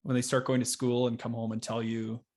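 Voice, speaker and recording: A man, hosting a casual podcast conversation in halting, searching phrases. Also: mid-range voice, flat delivery, a little mellow. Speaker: podcast host.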